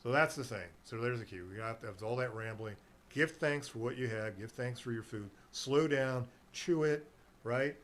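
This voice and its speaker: A man's voice: mid-range voice, moderate pace, fairly bright tone. Rambling chatty middle-aged man. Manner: chatty, meandering, laughs easily. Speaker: guest, herbal nutrition seller. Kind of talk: rambling casual chat on a local radio show.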